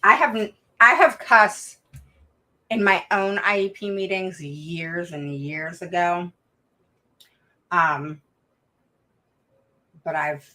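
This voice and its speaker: Frank, bright-voiced woman hosting a live chat. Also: low voice. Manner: frank, talkative, many fillers and restarts; calls herself a roaring mama advocate. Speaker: host of a live stream for special education parents. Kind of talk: casual live-stream chat, reading viewer comments.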